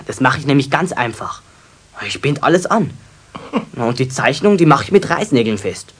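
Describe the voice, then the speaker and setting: A good-natured young apprentice in a 1970s children's radio play. He speaks eagerly and politely with a Bavarian accent. The voice is a little husky and moves up and down freely. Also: mid-range voice, sing-song, normal speed, fairly bright tone. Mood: amused. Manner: chatty, earnest, polite.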